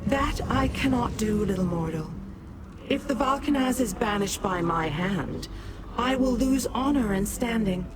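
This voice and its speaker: A woman voicing a haughty, vengeful Daedric demon in a fantasy video game, with a measured, condescending delivery. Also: medium pitch, expressive, noisy background, close to an everyday voice. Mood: haughty, commanding. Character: vengeful, haughty female Daedra, last of her clan.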